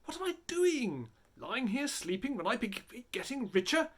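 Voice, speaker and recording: A man's voice: very high-pitched, very animated, normal speed, fairly bright tone, pitched above the performer's own voice, exaggerated voice. A man voicing a greedy, restless robber in a fairy tale, eager and scheming. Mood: indignant, eager.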